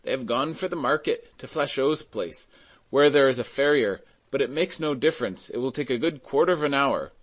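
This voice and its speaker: A storybook peasant bystander, acted by a male narrator. He sounds plain-spoken and doubtful, slightly higher in voice, objecting matter-of-factly. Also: moderate pace, natural voice. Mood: anxious, doubtful.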